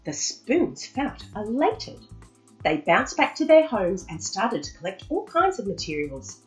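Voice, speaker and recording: A woman reading a children's storybook aloud with expressive, dramatic emphasis, in a slightly muffled recording. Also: medium pitch, a little slow, faint music behind.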